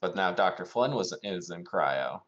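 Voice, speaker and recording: A man's voice: deep voice, animated, moderate pace, fairly bright tone, highs cut off. A man talking casually as a player in a tabletop role-playing game, informal and inquisitive.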